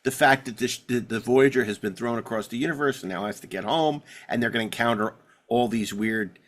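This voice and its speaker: A man talks as a podcast host in loose, casual conversation, at a middling pitch. He rambles with some excitement, often doubling back and repeating words as he builds his point. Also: brisk. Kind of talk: casual chat about sci-fi television.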